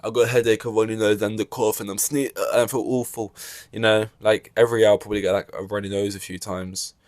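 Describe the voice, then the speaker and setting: Young guy rambling on his podcast, lowish voice, throat a bit scratchy, dead dry sound. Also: level tone, fast talker, a little mellow, no room sound. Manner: rambling, self-correcting, wry asides. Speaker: podcast host. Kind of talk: casual solo chat.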